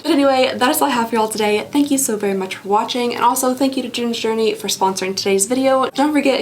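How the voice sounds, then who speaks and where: Chatty giggly lady vlogger, kinda high voice, getting hoarse, rambles a lot. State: voice going hoarse. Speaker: vlogger host. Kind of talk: casual unboxing review.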